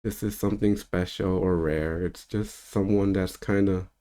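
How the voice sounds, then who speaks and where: Man, 30s, very low voice, casual spiritual-teacher monologue, meandering and relaxed. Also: unhurried, clear, resonant tone. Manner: loose and rambling, with easy laughs.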